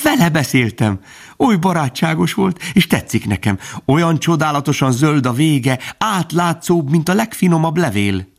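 An innocent young fawn from a storybook acted out by a man, pitched well up and swooping with curiosity. He is eager and exclaims readily, yet stays politely childlike. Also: big pitch swings, creaky, exaggerated voice. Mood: breathless enthusiasm.

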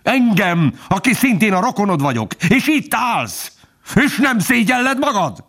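A wounded fox from a storybook, played by a man, drained and bitter. The voice is raspy and very changeable in pitch, full of desperate reproach. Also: huge pitch swings, darkish tone, exaggerated voice. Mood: bitter accusation. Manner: pleading or hissing reproach. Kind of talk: dramatic storybook character acting.

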